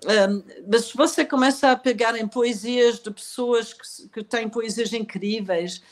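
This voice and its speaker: A woman with a fairly high voice talks calmly about poetry and song, then reads gently from her novel. Her speech is reflective and unhurried, and a slight leftover cough from a recent illness still shows. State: lingering cough after illness. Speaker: author. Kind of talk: conversational interview, then reading aloud from her novel.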